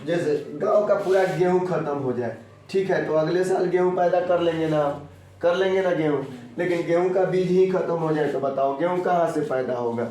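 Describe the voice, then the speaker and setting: A man's voice: on the high side, normal speed, warm tone, slight background noise. A man with a sore throat, teaching school children in a didactic way, repeating points and quizzing them. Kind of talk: classroom geography lesson for school children. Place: echoey room, teaching in person. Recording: very echoey room, distant mic.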